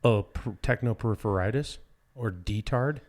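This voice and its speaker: American guy with a lowish voice, chatting on a podcast, dry jokes, blunt slangy talk. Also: moderate pace, darkish tone. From United States. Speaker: podcast guest, dirt bike rider. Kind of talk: casual chat.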